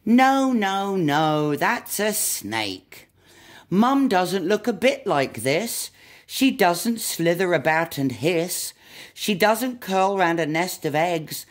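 Woman voicing an exasperated lost little monkey, lively pitch. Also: takes it slowly. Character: lost, upset young monkey, protesting and exasperated. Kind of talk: storybook read-aloud.

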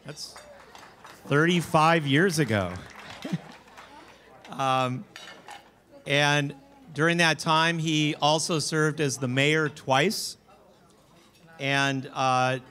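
A man at a podium in a large banquet hall introducing the keynote speaker, friendly and a little halting, chuckling between facts. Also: on the high side, bright, forceful tone, a little hiss or hum. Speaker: event host introducing speaker. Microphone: podium microphone.

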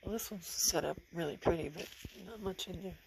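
Hoarse, gravelly woman vlogging on a phone, half-whispering low-key remarks over a noisy shop floor. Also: a little fast, darkish tone. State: hoarse from the flu, losing her voice. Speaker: vlogger browsing an antique mall. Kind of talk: casual running commentary while shopping. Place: large indoor antique mall in a former bowling alley. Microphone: handheld phone camera. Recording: noisy shop ambience behind phone audio.

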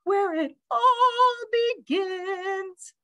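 A woman voicing a little yellow female bird, a gushing, theatrical teacher in a children's storybook, with exclamatory, sing-song speech and drawn-out words. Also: very high-pitched, no room sound, pitched above the performer's own voice, heavily acted. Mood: rapturous.

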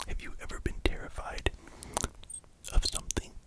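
A performer voicing a playful, gently menacing vampire dog count in a slow, repetitive ASMR whisper, close to the microphones. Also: lightly acted. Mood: playful, soothing. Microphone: close pair of microphones.

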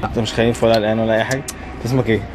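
Casting: Egyptian-accented male travel vlogger, twenties, very quick delivery, slightly low pitch, casual and emphatic. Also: flat delivery, background noise. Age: 20s. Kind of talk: casual vlog chat to camera. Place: old stone mosque, arcades and open rooftop. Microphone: camera held at arm's length.

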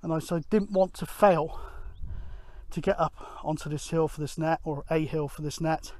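A middle-aged man gives casual outdoor vlog commentary in a rambling, halting way, thinking aloud. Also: on the high side, steady tone, darkish tone. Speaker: amateur radio vlogger, presenter. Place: outdoors on an open grassy hillside.

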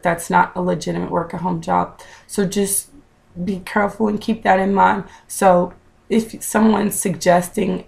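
A woman in her thirties talks casually to her viewers through a webcam at home. Her voice is raspy and heavily gravelly, pitched a little low. She is chatty and direct, with easy fillers. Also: a little mellow. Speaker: vlogger. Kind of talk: casual vlog advice. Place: small room at home, indoors.

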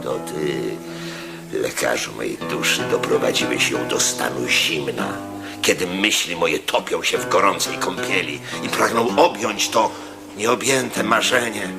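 Old Roman proconsul, lofty, brooding, low, slow, music behind, radio play. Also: male voice, lively intonation, creaky, exaggerated voice. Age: older. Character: aging Roman proconsul, melancholy philosopher. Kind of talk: 1980s-style radio drama.

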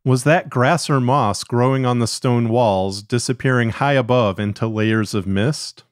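A man's voice: medium pitch, unhurried, dry recording. A man reading scripted narrative fiction as a podcast narrator. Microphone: close studio mic.

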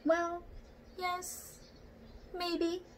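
A young woman voicing a timid, self-doubting female orangutan in a hesitant, gentle storybook manner. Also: very high-pitched, completely flat delivery, drawn-out words, voice pushed up, heavily acted. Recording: noisy home room recording.

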